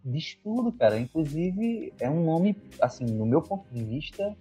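A man's voice: a little high, sing-song, moderate pace, warm tone, breathy edge. A Brazilian man with a Brazilian accent, interviewing a guest in an enthusiastic, effusive way. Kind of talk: casual interview. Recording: dull lo-fi sound with faint music.

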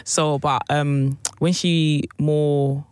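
A woman's voice: deep voice, level tone, extremely slow, a little mellow, smooth, no echo. A young woman with a girly voice, co-hosting a podcast in casual, chatty conversation. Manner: chatty, uses "do you know what I mean". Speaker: podcast co-host. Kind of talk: casual chat.

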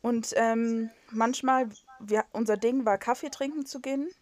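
A woman's voice: a little high, steady tone, a little slow, a little mellow. Chatty young woman on a video call. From North Rhine-Westphalia, Germany. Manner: rambling, self-mocking, frequent fillers and restarts. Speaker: podcast co-host. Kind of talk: casual chat. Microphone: video call recording.

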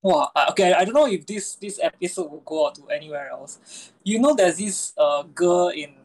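A woman's voice: lowish voice, moderate pace, a little mellow, rough recording. A woman with a Singaporean English accent, chatting casually in a book club and telling a school story. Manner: chatty, many listening murmurs. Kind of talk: casual chat.

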